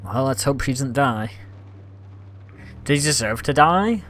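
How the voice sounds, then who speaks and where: A man's voice: medium pitch, animated, a little slow, fairly bright tone, slight background noise. A Scottish man chatting casually and rambling as he streams a game, reacting aloud to what happens on screen. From Scotland. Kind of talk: casual live-stream commentary.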